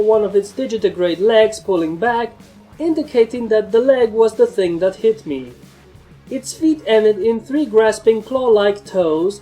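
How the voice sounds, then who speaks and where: A woman narrates a first-person story in a soft, mellow tone at medium pitch. Faint music plays behind her scripted reading. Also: rough recording.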